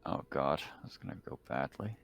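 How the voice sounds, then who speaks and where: A man's voice: extremely low pitch, lively intonation, moderate pace, lo-fi sound. A man playing a tabletop roleplaying game, talking casually, partly in character.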